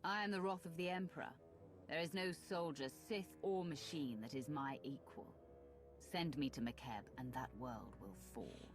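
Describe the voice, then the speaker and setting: A woman voicing a proud, coolly menacing Sith warrior in a video game, with a crisp, haughty, formal manner. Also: sits low, moderate pace, over music. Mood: proud, threatening. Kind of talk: dramatic video game dialogue acting.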